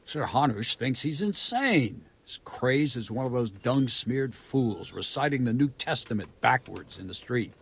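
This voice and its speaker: An actor playing a gruff but good-natured soldier in a medieval video game, husky and wryly plain-spoken. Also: male voice, mid-range voice, animated, a little slow, hardly any pauses, exaggerated voice. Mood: musing.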